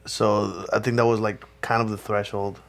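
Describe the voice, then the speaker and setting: A man's voice: fairly low pitch, flat delivery, normal speed. Male podcast co-host, native Spanish speaker with a light Spanish accent, loose casual delivery, frequent restarts, casual swearing. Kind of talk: casual chat.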